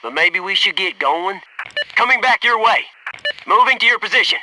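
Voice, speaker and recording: A man's voice: high voice, expressive, normal speed, background noise. A male actor playing a loyal, wisecracking special forces soldier in a military shooter game, speaking in clipped military phrases. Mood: dry, wry.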